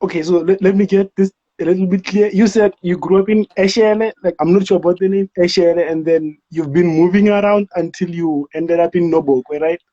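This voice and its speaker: A man hosts an interview in a high but mellow voice. He talks in a loose, slangy, enthusiastic way, and the recording sounds dull and lo-fi. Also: steady tone, fairly quick, few pauses. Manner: slangy, hyped-up, says bro and like. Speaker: interview host. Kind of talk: casual interview.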